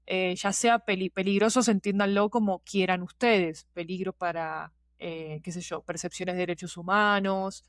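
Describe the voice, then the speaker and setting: A woman's voice: lowish voice, steady tone, normal speed, hardly any pauses, dry recording, clean studio sound. A woman gives a reflective, candid interview answer in colloquial speech, often checking that the listener follows. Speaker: podcast guest, fiction podcast producer.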